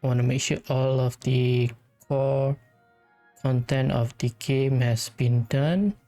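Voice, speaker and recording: A woman giving casual, rambling let's-play commentary as a gaming video host, in a low-key and hesitant manner. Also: steady tone, slow, dark tone, soft background music.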